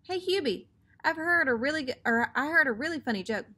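An eager, chatty schoolboy friend from a storybook, read by a woman. He sounds playful and inviting, high in pitch and full of bounce. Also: lively intonation, a little fast, pushed and bright.

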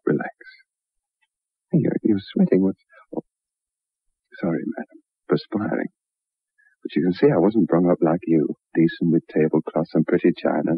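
An actor playing an intense, rough-mannered lover pressing a married woman in a 1960s radio drama. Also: sits low, no echo. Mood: rough teasing. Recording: muffled, narrow-band 1960s radio recording.

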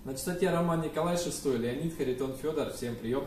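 A man's voice: on the high side, fairly quick. Young man on radio, echoey distant room sound. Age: young adult. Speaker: amateur radio operator. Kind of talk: ham radio contact, call signs spelled out. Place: small indoor room with radio desk and computers. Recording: echoey room, distant mic, faint noise.

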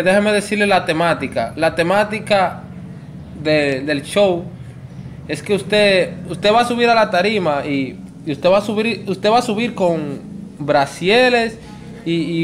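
A young man with a Dominican accent and a noticeably high voice works a prank phone call. He keeps insisting and pleading like a promoter closing a deal, with faint noise behind him. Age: 20s. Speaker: prank caller.

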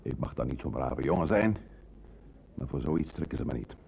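An actor playing a sardonic, easygoing small-time crook and ex-convict in a Dutch radio play, with a gravelly voice. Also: male voice, very deep voice, lively intonation, normal speed, a little mellow, full character voice. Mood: wry, needling. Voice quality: gravelly, husky.

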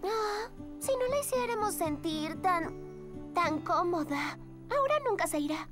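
Brisk, high-voiced cartoon girl. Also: lively intonation, over loud music. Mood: grumbling. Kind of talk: children's cartoon dubbing.

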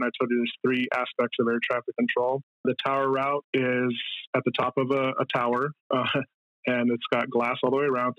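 A man's voice: medium pitch, no room sound, highs cut off. A middle-aged man giving casual, explanatory answers as an interview guest. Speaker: interview guest, air traffic controller.

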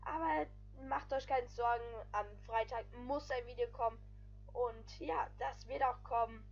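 High-voiced rambling gaming commentator. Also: female voice, steady tone, measured pace, creaky, muffled recording, soft background music. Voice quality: rambling, loose, with frequent filler phrases. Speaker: gaming video creator. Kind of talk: casual voice-over commentary.

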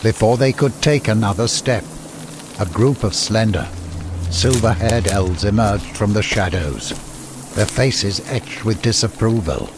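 A man's voice: lowish voice, unhurried, background noise. A man reading a scripted fantasy story as an audiobook narrator. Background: music.

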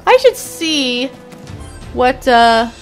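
A woman's voice: sits high, sing-song, very slow. A young woman giving casual gameplay commentary, chatty and talking at the characters, her voice a little off from allergies. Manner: chatty, talks to the game characters, mild exclamations. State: voice slightly off from allergies. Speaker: let's play gamer. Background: game music.

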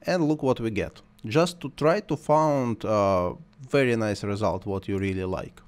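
A man whose first language is Russian or Ukrainian, talking through a screen-recorded software tutorial while he works, in simple, halting English. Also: lowish voice, clean tone. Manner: thinks aloud while working, simple non-native phrasing.

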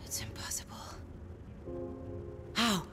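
A woman playing a grave, weary military leader in a video game, solemn and earnest, with a breathy voice that often drops to a whisper. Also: takes it slowly, lightly acted. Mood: grave resolve. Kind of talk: dramatic video game cutscene acting. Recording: music behind the voice.

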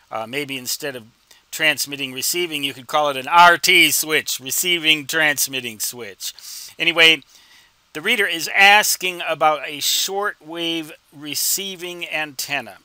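A man in his sixties giving an informal explanatory tutorial, with deliberate, emphatic phrasing and rhetorical questions. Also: a little high, bright, forceful tone, smooth, dry recording. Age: 60s. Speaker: instructor and ham radio hobbyist.

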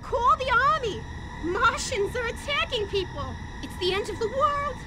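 A woman playing an excitable city bystander in a superhero video game, in an exaggerated style. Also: very high voice, normal speed, heavy background noise. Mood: panicked. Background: loud music, street action sounds.

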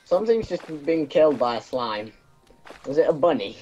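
A man's voice: mid-range voice, sing-song, normal speed, a little mellow, dull audio, soft background music. A man making wry, sarcastic remarks while playing a video game with friends. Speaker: game player. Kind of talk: casual gaming chat.

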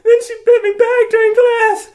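Whiny little schoolgirl, played by a man, squeaky high and weepy, stammering, for a comedy radio play. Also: little pitch movement, normal speed. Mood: tearful, pleading. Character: tearful little schoolgirl confessing to biting. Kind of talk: comedic radio drama acting.

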